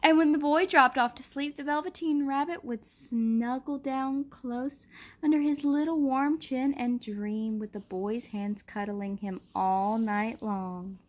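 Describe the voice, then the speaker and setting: Woman gently reading a storybook aloud. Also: high voice, steady tone, measured pace. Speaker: storybook reader. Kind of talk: reading a picture book aloud.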